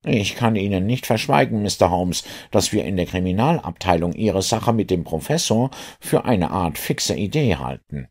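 A matter-of-fact, sceptical police inspector in an audiobook, played by a male reader. The voice is lifted above the narrator's and swings in pitch, with an insistent, questioning manner. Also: lively intonation, moderate pace, few pauses, a little mellow, slightly rough, heavily acted. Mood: sceptical, chatty.

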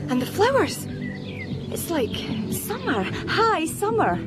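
Female anxious mother, radio drama: hesitant, high-pitched, widely varied intonation. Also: wildly swooping pitch, slow pace, over loud music, heavily acted. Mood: bewildered, anxious. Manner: hesitant, questioning. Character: bewildered, anxious mother returning home.

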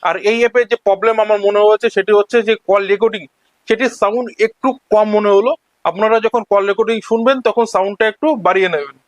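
High-pitched, quick-talking man giving a tutorial. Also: steady tone, no echo. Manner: instructional, explains each step in turn. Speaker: tech tutorial host. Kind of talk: step-by-step screen-recording tutorial narration.

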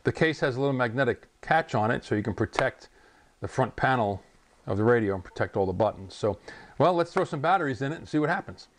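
A man's voice: mid-range voice, expressive, quick pace. A man in his sixties, a ham radio channel host, chatting casually and rambling a little as he unboxes a radio in his home radio room on a lapel mic. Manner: chatty, rambling, chuckles often. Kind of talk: casual product unboxing vlog.

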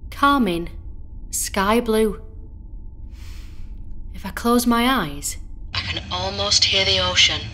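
An actress playing a composed female patient who speaks in a placid, measured way. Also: mid-range voice, pushed and bright, slight background noise. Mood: calm. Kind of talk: horror audio drama acting.

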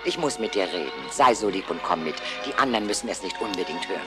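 A woman playing a solemn old wild goose leader in a cartoon audio drama, measured and grave. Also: a bass voice, animated, music in the background. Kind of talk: animated series audio drama acting.

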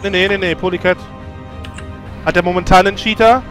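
A man's voice: high voice, steady tone, a little fast, music in the background. A man co-commentating a video game match, analytical and eager about tactics.

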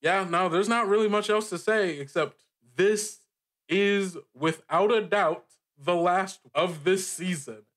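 A man's voice: high-pitched, unhurried, fairly bright tone. A man hosting as game master, joking theatrically and often laughing through his words in playful podcast banter.

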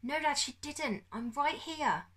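A woman reading an audiobook, voicing a brave young boy who speaks in an urgent, hushed whisper, with her pitch raised well above her own. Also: animated, slow pace, heavily acted. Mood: pleading. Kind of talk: audiobook character voice.

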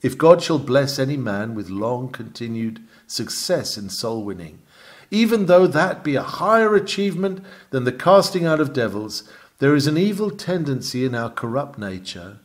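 A man reading a sermon aloud in a measured, formal and emphatic oratorical style. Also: on the high side. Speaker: reader of a printed sermon. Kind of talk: read-aloud sermon, preaching delivery.

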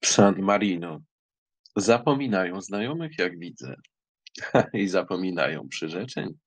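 A proud young count in an audiobook drama, played by a man, low and husky. He speaks with suave, teasing insistence, recorded close and dry. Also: sing-song, unhurried, no echo, heavily acted. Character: proud, audacious young count courting a lady.

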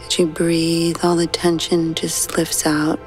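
A woman guiding a sleep meditation in a slow, soothing, hushed manner with long pauses. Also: deep voice, monotone, rough recording. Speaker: meditation guide. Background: soft background music.

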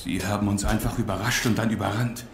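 A man's voice: lowish voice, steady tone, fast, natural voice. Man as a grim captain, echoing space. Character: grim, determined male military captain. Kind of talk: German audio drama acting. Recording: echoing space, faint noise.

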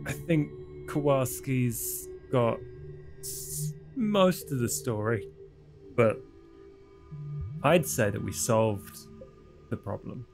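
Slow-paced, hesitant man at a tabletop game. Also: mid-range voice, music in the background. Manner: hesitant, tentative. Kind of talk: casual role-play.

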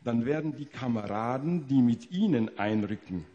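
A man interpreting a witness's testimony into German in court, pausing to search for words. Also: mid-range voice, animated, mellow, muffled recording. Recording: old 1960s courtroom tape.

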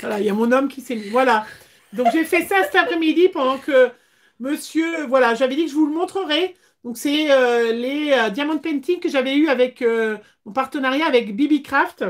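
Lady in her 40s doing a craft live, high voice, chatty n hesitating a bit, small room. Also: normal speed. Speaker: craft livestream host. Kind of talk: casual livestream chat.

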